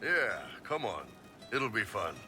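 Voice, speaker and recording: An actor playing a big, brash, easygoing horned mercenary warrior in a video game, with a deep, gravelly voice and blunt, casual talk. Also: male voice, big pitch swings, slow pace, bright, forceful tone, faint music behind, full character voice. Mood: warm, relaxed. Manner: blunt, jovial, casual, swearing.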